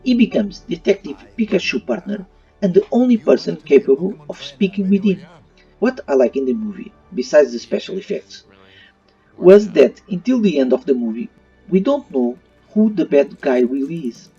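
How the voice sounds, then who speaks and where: A man's voice: a little high, very animated, normal speed, gravelly, mellow, husky. A man giving an opinion review in voice-over, with non-native English phrasing. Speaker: YouTube movie reviewer. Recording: muffled, slightly distant mic. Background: faint music.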